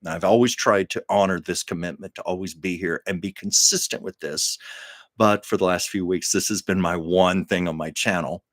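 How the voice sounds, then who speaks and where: A man's voice: sits low, normal speed, no room sound, clean studio sound. A man hosting his own livestream from home, chatting casually with viewers and laughing often. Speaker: livestream host. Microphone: camera on a livestream setup.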